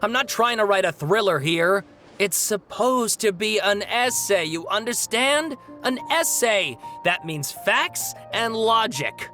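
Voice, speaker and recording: A man's voice: high-pitched, lively intonation, slow, bright, forceful tone, soft background music, full character voice. An actor playing an earnest young male student who talks in a fussy, hesitant way, with plenty of fillers.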